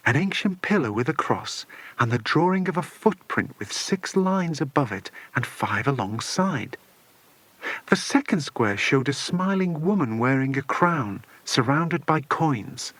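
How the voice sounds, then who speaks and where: A male narrator reads a children's adventure story expressively. His voice is mid-pitched with wide, lively intonation, in a very dry, close recording. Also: no echo. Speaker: audiobook narrator.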